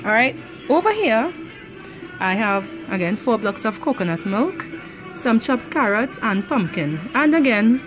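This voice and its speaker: A woman's voice: on the high side, big pitch swings, unhurried, over music, low-quality recording. A Trinidadian woman casually talking through a home cooking tutorial, rambling with many ums and easy laughs. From Trinidad and Tobago. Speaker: cooking channel host.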